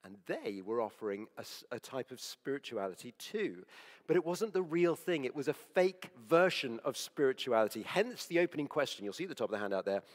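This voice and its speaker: A man with a croaky, hoarse voice preaching a sermon in a church, earnest and conversational, with fillers and self-corrections. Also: mid-range voice, sing-song, brisk, big-room sound. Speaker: preacher on the church leadership team.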